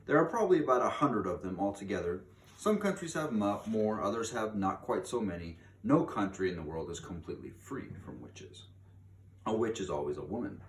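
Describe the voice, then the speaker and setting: A man reading a children's book aloud in a theatrical, emphatic manner, drawing out key words for drama. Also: lowish voice. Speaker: read-aloud storyteller. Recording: distant mic, echoey room, background noise.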